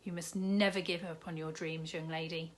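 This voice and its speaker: A woman voicing a big, jolly, kindly male shopkeeper in a storybook reading, warm and fatherly. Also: deep voice, steady tone, normal speed, lightly acted.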